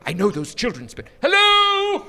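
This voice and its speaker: A man's voice: very high-pitched, wildly swooping pitch, moderate pace, vocal fry, bright, forceful tone, full character voice. A man acting a nervous, sentimental old miser in a live radio play, stammering and repeating his words. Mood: excited, delighted. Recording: live stage recording with background noise.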